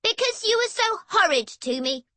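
Lady doing a snooty sulky big sister in a kids' story, high voice, curt and indignant, lively pitch. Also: normal speed, no echo, exaggerated voice. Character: haughty, unfriendly big sister, sulky young girl.

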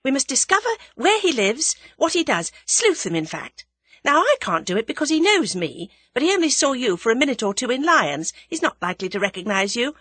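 A woman voicing a bold, impulsive young English woman in a dramatised audiobook, bright and emphatic. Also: high-pitched, very animated, fairly quick, slightly rough, no room sound, voice pushed up, exaggerated voice. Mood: scheming, brisk. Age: young adult.

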